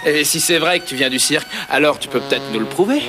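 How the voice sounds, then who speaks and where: A man's voice: fairly high pitch, expressive, quick pace, close to an everyday voice. A man playing a wisecracking, sceptical teenage boy in a French cartoon dub, with a teasing way of talking. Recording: music behind the voice.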